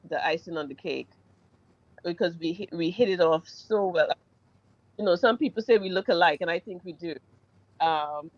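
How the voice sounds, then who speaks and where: A woman's voice: lowish voice, lo-fi sound. A Caribbean-born woman, a doctor, speaking unhurriedly as a guest on a radio interview. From Caribbean, born in St Vincent, raised in Trinidad.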